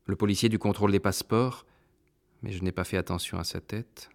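A man narrating a first-person novel in a measured, introspective way. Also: fairly low pitch, fairly even intonation, gravelly. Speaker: audiobook narrator.

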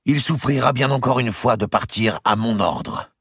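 Casting: condemned princess played by a man, defiant and cutting, hurried breathy delivery, raised husky voice, for dramatic audiobook. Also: sits low, a bit bright, no echo, studio quality, pitched above the performer's own voice, exaggerated voice. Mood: imperious. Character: defiant, spiteful condemned young princess, proud and passionate.

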